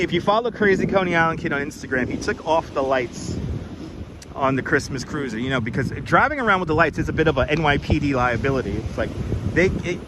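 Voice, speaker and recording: A man hosting a relaxed walking livestream outdoors, chatting easily and greeting viewers by name. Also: on the high side. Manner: easygoing, greets viewers by name, chuckles often. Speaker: livestream host. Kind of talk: casual walking livestream chat. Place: outdoors on a windy beach boardwalk. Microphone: wireless clip-on mic. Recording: wind noise on outdoor mic. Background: wind and crashing waves.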